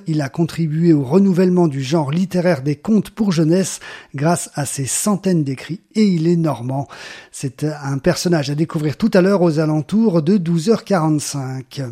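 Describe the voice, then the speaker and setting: A man reading a radio program announcement in a smooth, read-aloud way. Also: fairly high pitch, moderate pace, mellow.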